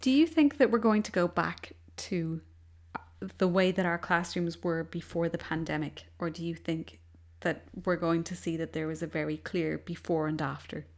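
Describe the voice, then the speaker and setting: A woman who teaches languages, interviewing a colleague in a measured, reflective way. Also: on the low side. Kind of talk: casual conversation.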